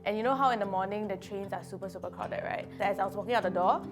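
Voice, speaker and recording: A woman's voice: medium pitch, fast talker, over music. A woman, telling her story candidly and with playful asides. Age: 20s. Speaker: video producer. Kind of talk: interview confession.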